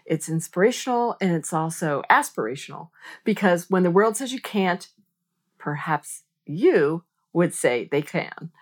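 A woman's voice: on the low side, expressive, measured pace. A middle-aged woman from the United States hosting a podcast interview, chatty and enthusiastic. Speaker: podcast host. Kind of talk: casual interview chat.

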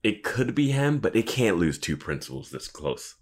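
A man's voice: lowish voice, sing-song, moderate pace. A man co-hosting a podcast in casual, joking commentary, riffing with mock quotes and plenty of filler "like". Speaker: podcast co-host.

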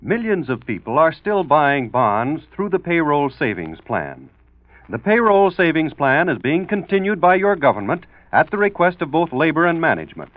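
A man's voice: on the high side, no room sound. A man reading scripted copy as a 1940s radio announcer, polished and emphatic. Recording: muffled old broadcast with music.

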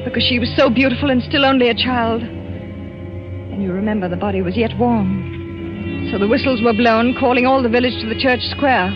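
Actress as fearful wife in muffled old radio thriller. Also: female voice, a little high, close to an everyday voice. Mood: grim dread. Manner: breathless, dramatic, halting. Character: anxious, fearful French wife confessing to a colonel. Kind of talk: 1940s radio thriller acting. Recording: muffled vintage radio recording with music.

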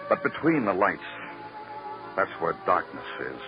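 An actor playing a brooding, world-weary American detective, narrating a 1950s noir radio show in dramatic, poetic phrases. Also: male voice, deep voice, sing-song, measured pace. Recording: dull vintage radio recording. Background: music.

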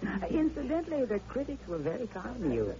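A woman acting as a sharp-tongued, scheming newspaper columnist in an old radio drama, arch and cutting. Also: on the high side, huge pitch swings, brisk, a crowd in the background. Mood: arch, sweetly false. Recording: muffled vintage radio recording.

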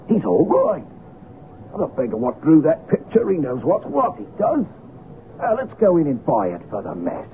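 A man voicing a rough, admiring soldier, colloquial and excited, in an old radio drama. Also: medium pitch, natural voice. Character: rough, admiring British artillery soldier, common and plainspoken. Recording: tinny, noisy old radio recording.

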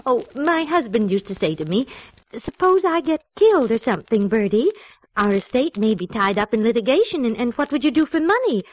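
Lonely well-off widow for vintage radio play; highish, lively pitch, theatrical, fluttery delivery. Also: female voice, brisk, dry recording, rough recording. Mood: chatty, fluttery. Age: middle-aged. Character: fretful, lonely wealthy 45-year-old widow, trusting and fluttery. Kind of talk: old-time radio drama acting.

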